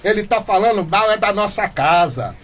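High-voiced blustering Brazilian man. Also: expressive, normal speed, a bit bright. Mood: aggrieved. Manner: blustering, theatrical. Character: stubborn, indignant small-town man, grandiose political dreamer. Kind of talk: comic radio soap acting.

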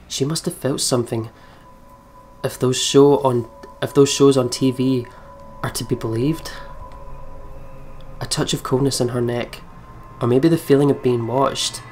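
A man, reading a game's text aloud as narration with some casual commentary. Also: medium pitch. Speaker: let's player reading a visual novel aloud. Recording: music behind the voice. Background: game music.

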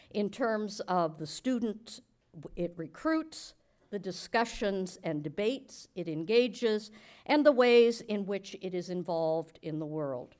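Formal, measured woman at a podium. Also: mid-range voice, a little mellow. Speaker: event host, academic director. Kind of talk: formal podium introduction speech. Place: large auditorium stage, indoors. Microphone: podium microphones.

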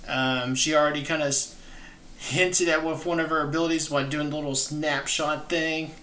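A young adult man hosting a gaming reaction, talking casually and enthusiastically in halting phrases, close to the mic. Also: fairly high pitch, steady tone, sharp tone, slight background noise. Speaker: gaming channel host. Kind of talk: reaction commentary. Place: small indoor streaming room. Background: trailer music at times.

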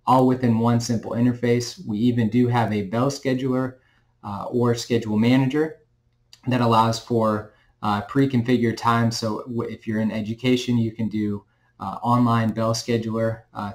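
A man's voice: medium pitch, little pitch movement, normal speed. Middle-aged man giving an informal product explainer. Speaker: company representative presenting a product. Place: small indoor room or office.

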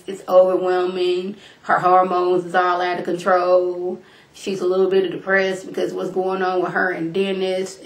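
A woman's voice: fairly low pitch, reverberant, rough recording. Congested woman chatting to her webcam. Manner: chatty, slangy, addresses viewers as y'all. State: congested and slightly hoarse from illness. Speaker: reality TV recap vlogger. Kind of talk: casual recap talk to camera. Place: small room at home, indoors. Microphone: webcam at desk distance.

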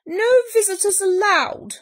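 Woman acting out a grumpy, blustering storybook bear, pitched high. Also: measured pace. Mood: gruff, annoyed. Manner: blustering, emphatic exclamations. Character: grumpy, easily exasperated male bear who hates visitors. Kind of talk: storybook character voice.

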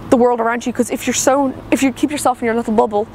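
A woman's voice: fairly high pitch, steady tone, fast talker, a little mellow, a little hiss or hum. A teenage Irish schoolgirl giving an earnest interview, with many fillers. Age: teens. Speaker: secondary school student. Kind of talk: interview soundbite.

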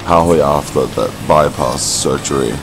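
A man in his thirties chatting casually in a shop, halting with many fillers, and slightly hoarse from a cough. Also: rumbling low, background noise. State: has a cough. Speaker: vlogger. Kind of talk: casual chat. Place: indoors in a large shop.

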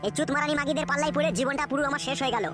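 A man's voice: very high voice, steady tone, rapid-fire. A man voicing a brash, foul-mouthed, hot-tempered male character in an adult comedy cartoon, scolding and ranting crudely. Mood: furious. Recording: very loud music under the voice.

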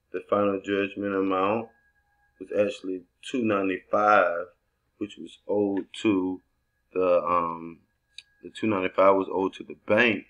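A man with a very deep voice walks through his screen in a relaxed tutorial. He talks loosely, thinking aloud and restarting sentences as he goes, as if coaching his assistants. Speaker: real estate investor training assistants. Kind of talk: screen-recorded tutorial walkthrough.

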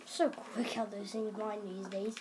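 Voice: medium pitch, flat delivery, unhurried, a little mellow, slight background noise. A young-sounding gamer improvising a role-play commentary on a video game, rambling and exclaiming. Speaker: gaming video maker. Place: indoors at home, in front of a television. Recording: camera filming a TV, game music.

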